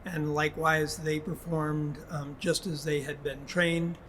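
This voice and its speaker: A man speaking as a school official in a formal public address, in a measured, halting way. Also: a little high, flat delivery, fairly bright tone, slight background noise. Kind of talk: public address, prepared statement spoken haltingly.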